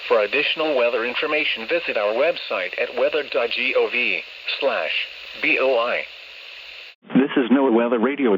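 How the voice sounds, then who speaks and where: A man announces station identifications in a measured, impersonal voice that sounds automated, at an average pitch. The sound is muffled, like a weather radio capture, with clear noise over it.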